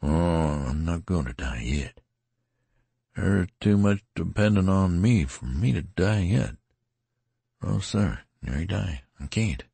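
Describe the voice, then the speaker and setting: Man doing a creaky, drawling wounded soldier. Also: deep voice, a little mellow, dry recording, pitched above the performer's own voice, heavily acted. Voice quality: creaky, husky. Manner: rustic drawl, dreamy and rambling. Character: weary wounded male soldier, folksy rambling. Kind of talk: audiobook character reading.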